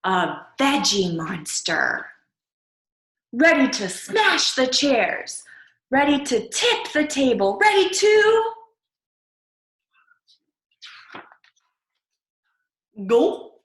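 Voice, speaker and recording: Storybook young boy, performed by a woman: theatrical, full of dread, highly animated pitch, drawn-out suspense. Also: reverberant, full character voice. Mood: monstrous, wild. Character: dramatic young boy who dreads eating peas. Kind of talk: animated storybook read-aloud.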